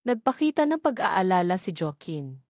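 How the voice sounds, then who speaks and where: A woman's voice: fairly low pitch, moderate pace, no room sound. Filipino woman narrating, dry close sound. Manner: steady storytelling read, voicing all characters lightly. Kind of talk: scripted audiobook narration of a romance story.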